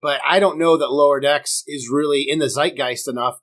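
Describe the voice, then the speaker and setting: A man's voice: fairly high pitch, steady tone, brisk, fairly bright tone. A man with a slightly raised voice hosts a casual podcast, talking through his opinions in a loose, chatty way. He is sick and his throat is sore, so his voice sounds a little strained. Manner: chatty, opinionated, addresses viewers directly. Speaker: podcast host.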